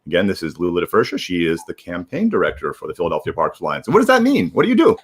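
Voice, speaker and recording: A man with a lowish voice hosts a livestream. He talks very quickly and informally, rambling through casual announcements and circling back over his own phrases. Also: huge pitch swings, slightly rough. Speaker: livestream host. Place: remote video livestream.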